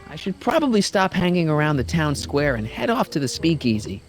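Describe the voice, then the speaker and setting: A high, animated voice plays a teenage boy hero in a video game. He is wry and nervy, hesitating as he improvises. Light music sits behind him. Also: fairly quick, low-quality recording.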